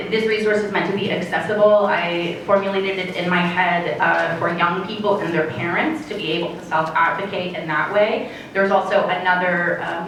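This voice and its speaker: A Canadian woman speaking as a conference panelist, earnest and measured, with frequent fillers. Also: mid-range voice, a bit bright, slight background noise. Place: conference hall. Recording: very echoey hall, distant.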